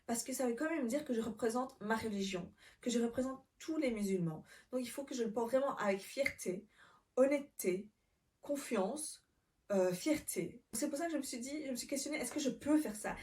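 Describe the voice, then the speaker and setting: A woman's voice: on the high side, moderate pace, a little mellow, far from the mic. A Belgian-born woman in her twenties telling her personal story to camera in an earnest, reflective way, with frequent fillers and restarts. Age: 20s. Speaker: vlogger.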